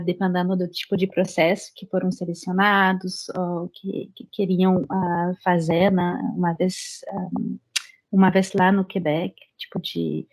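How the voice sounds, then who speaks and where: A woman's voice: mid-range voice, darkish tone. French woman speaking Portuguese, hesitant. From France. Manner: hesitant, many fillers and restarts in a second language. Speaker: interview guest, French teacher. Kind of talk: casual interview chat.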